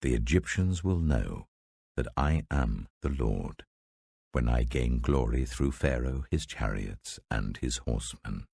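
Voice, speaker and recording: A man voicing a grave, commanding God in a slow, solemn, gravelly scripture reading. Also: animated, darkish tone, no echo, studio quality, full character voice. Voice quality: very deep, gravelly. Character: God, a commanding, grave, majestic male deity.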